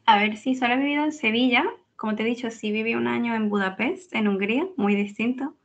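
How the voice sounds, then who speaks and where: A young woman with a gravelly, fry-tinged voice chats as an interview guest. She talks in an easy, lively way, often breaking into laughter. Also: fairly high pitch, a little fast, dull audio. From Venezuela (Caracas). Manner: chatty, lively, laughs easily. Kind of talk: casual interview chat.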